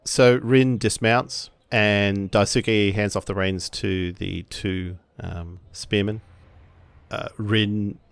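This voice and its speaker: A man with a fairly low voice and a very slow pace plays a tabletop roleplaying game. He narrates his characters' actions casually and hesitantly, searching for words. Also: fairly even intonation, creaky, clear, resonant tone, no room sound. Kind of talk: casual third-person narration of own characters.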